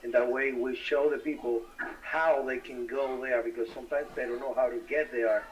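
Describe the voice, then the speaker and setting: A man's voice: mid-range voice, steady tone, moderate pace, far from the mic, dull audio. A man with Spanish as his native language, talking casually as a bilingual co-host on a live stream. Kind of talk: casual live-stream discussion, switching between English and Spanish.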